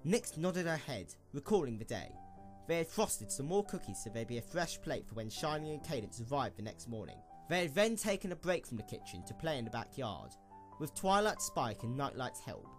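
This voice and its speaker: A man reads scripted story narration in an expressive way. His medium-pitched voice moves widely up and down, and soft music plays underneath. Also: normal speed.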